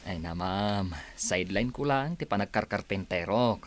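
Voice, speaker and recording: An actor as a shy Filipino handyman in a radio drama. His voice is fairly low, and he talks hesitantly and earnestly, like a polite suitor. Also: animated, normal speed, close to an everyday voice. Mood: earnest, hopeful. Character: Rod, shy, earnest handyman courting a woman.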